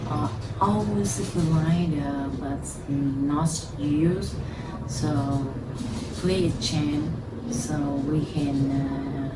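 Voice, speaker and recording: Vietnamese lady chatting in slow broken english, low voice, lots of uh and um, echoey room, mic kinda far off. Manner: halting non-native English, many fillers. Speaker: lighter collector vlogger. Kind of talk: casual chat to camera. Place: at home.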